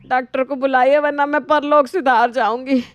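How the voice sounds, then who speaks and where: A woman playing a fussy, dramatic older mother-in-law who complains, in an animated family story. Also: sits high, moderate pace, clean tone, dry recording, highs cut off, close to an everyday voice. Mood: plaintive, dramatic.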